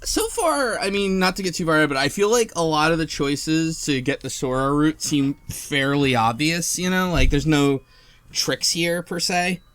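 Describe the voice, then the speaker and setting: A man's voice: fairly high pitch, normal speed, fairly bright tone, dry recording. A man hosting a casual group discussion, explaining things in an easily amused, conversational way. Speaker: podcast host.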